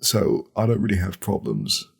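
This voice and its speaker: Guy doing an insecure braggy podcaster, deep croaky voice, stumbles over his words. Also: sing-song, full character voice. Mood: awkwardly boastful. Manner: stammering, halting, repetitive. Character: boastful, insecure, lonely male podcast co-host. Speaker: podcast co-host. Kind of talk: parody podcast talk.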